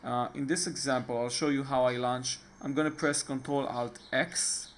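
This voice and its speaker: A man from Israel with an Israeli accent, talking casually and haltingly through a screen-recorded computer tutorial, often pausing on fillers and restarting his sentences. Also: medium pitch, steady tone, slight background noise. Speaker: tutorial host.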